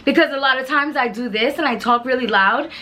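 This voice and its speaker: A woman in her twenties with a naturally deep voice made hoarse by illness, chatting calmly and quietly through a clothing try-on in her bedroom. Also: fairly quick, slight background noise. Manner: calm and quiet, with laughs. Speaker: fashion vlogger. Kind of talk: casual try-on haul chat. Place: bedroom with vanity and mirror.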